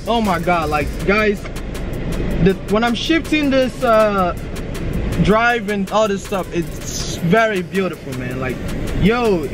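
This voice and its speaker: A young man in his twenties vlogging from an outdoor car auction lot with a handheld camera, giving casual hustle advice and calling people "man" and "bro". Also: high voice. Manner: preachy hustle talk, repeats "man" and "bro". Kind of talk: casual vlog walkthrough. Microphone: handheld camera at arm's length.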